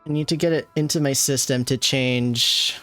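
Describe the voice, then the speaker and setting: A man's voice: mid-range voice, steady tone, normal speed, fairly bright tone, no room sound. A man doing casual livestream reaction commentary, gushing playfully over a video game. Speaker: game streamer. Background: game music.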